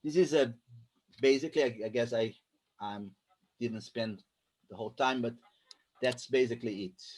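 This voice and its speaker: A man with a Brazilian Portuguese accent presenting figures from slides over a video call, halting as he searches for words. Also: mid-range voice, darkish tone, poor audio. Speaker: guest presenter.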